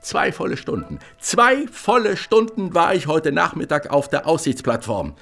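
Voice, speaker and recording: Blustering, cursing old navy father, wildly animated. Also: on the high side, normal speed, creaky, exaggerated voice. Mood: hearty blustering. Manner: blustering, hearty, swears often. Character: loud, gruff, cursing middle-aged naval father, boisterous. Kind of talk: literary radio drama acting.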